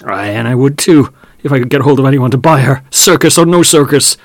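A man voicing an angry, grasping middle-aged male farmer who shouts and scolds in a broad country dialect. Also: medium pitch, brisk, dry recording, clean studio sound, lightly acted. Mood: bitter.

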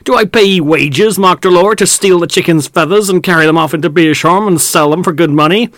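A man's voice: high-pitched, fairly quick, a bit bright, no room sound, clean studio sound, pitched above the performer's own voice. Man doing a quavering old farmhand. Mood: stubborn. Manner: broad old-fashioned country dialect. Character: old male farmhand, stubborn and pleading.

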